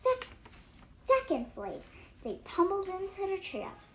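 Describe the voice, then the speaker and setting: Little kid 8 yrs old reading a story out loud, high voice, really lively up and down, some noise behind. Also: low-quality recording. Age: child, 8 years old. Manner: halting, stumbles over words and self-corrects. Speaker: young reader on a read-aloud channel. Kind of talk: storybook read-aloud.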